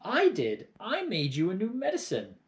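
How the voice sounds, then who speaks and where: A reader doing a nervous young boy in a storybook, calling up in a raised, worried voice. He sounds lively and pleading, and his pitch climbs well above the narration. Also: normal speed. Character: anxious young farm boy. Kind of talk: storybook read-aloud.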